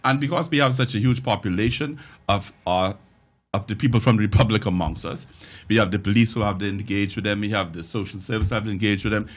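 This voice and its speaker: Low-voiced older Bahamian man, halting. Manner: stammering restarts, joking asides. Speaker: talk show panelist. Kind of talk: casual panel discussion.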